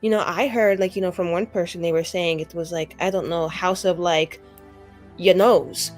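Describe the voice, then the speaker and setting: A woman's voice: fairly low pitch, steady tone, moderate pace, faint music behind. A woman playing a tabletop roleplaying game, talking casually in character with lots of gossipy "like"-filled storytelling.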